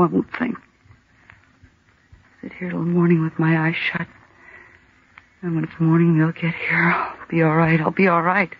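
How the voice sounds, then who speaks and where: An actress playing a desperate, frightened woman trapped in the dark, in an intense old-time radio drama style. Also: fairly low pitch, slight background noise. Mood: numb, self-soothing. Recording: dull, lo-fi old radio recording.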